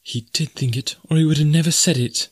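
A man takes on the part of a proud young American woman in an adventure tale. The voice is lifted high, formal and full of feeling, with wounded dignity. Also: a little fast, husky, dry recording, studio quality. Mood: hurt, doubting.

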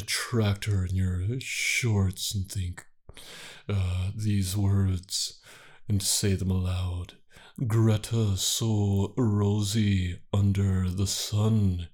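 Slow, deadpan low-voiced man. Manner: slow, deadpan, mock-formal. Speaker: comedy podcast co-host. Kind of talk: improvised comedy banter.

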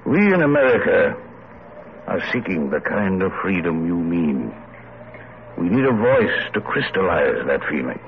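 A man's voice: low-pitched, very animated, creaky, slight background noise, full character voice. Courtly old statesman, tinny vintage radio. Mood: earnest, persuasive. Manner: courtly, measured, persuasive phrasing. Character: genial, shrewd elderly gentleman statesman, courtly and wry. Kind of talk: 1940s-50s radio drama acting.

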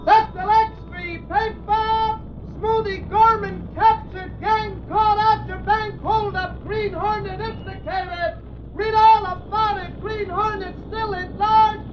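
A very high-pitched news hawker. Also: female voice, fairly even intonation, over music. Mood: hawking. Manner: drawn-out calling, chanting. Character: street newspaper seller crying the headlines. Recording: dull old 1940s radio recording.

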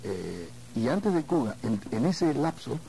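A mature man from Argentina reminiscing in a conversational interview, haltingly, repeating words as he searches for the next. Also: mid-range voice, sing-song, vocal fry, mellow, slight background noise, poor audio. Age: middle-aged or older. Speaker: singer being interviewed.

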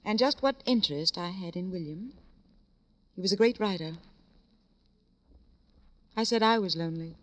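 A woman's voice: mid-range voice, normal speed, long pauses, natural voice. A woman acting a lonely, grieving, proud widow in a classic radio drama, melodramatic and wounded. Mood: lonely, wistful. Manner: hesitant, melodramatic, wounded pride.

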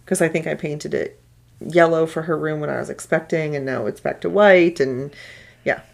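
Low, gravelly-voiced woman podcast co-host. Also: steady tone, normal speed, a little mellow. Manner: chatty, swears casually, trails off mid-sentence. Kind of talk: casual chat.

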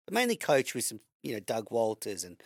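A man's voice: medium pitch, huge pitch swings, fairly quick, no echo, clean studio sound. A man hosting a podcast, asking questions in an encouraging, conversational way. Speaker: podcast host. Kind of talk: casual podcast interview.

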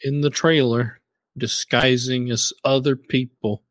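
A man's voice: medium pitch, fairly even intonation, unhurried, no room sound. Male podcast co-host. Mid-range voice, conversational, recorded dry. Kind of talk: casual podcast chat with some scripted intro.

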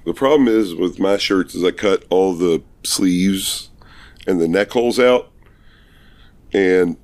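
Deep husky man, hoarse from a cold. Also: slow pace, darkish tone. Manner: laid-back, rambling, self-mocking. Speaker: podcast co-host. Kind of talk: casual podcast chat.

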